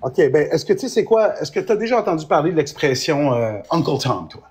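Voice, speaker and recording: A man's voice: fairly high pitch, sing-song, brisk, darkish tone, breathy edge. A man with a Québécois French accent, a lawyer explaining his view in a live-stream debate, often restarting his words. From Quebec. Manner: explanatory, hesitant with frequent restarts.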